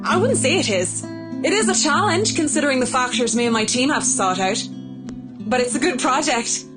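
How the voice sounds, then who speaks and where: A woman's voice: high-pitched, expressive, normal speed, over music. An actress playing a poised, guarded yet passionate professional woman in a visual novel, speaking politely and formally in articulate sentences. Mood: enthusiastic.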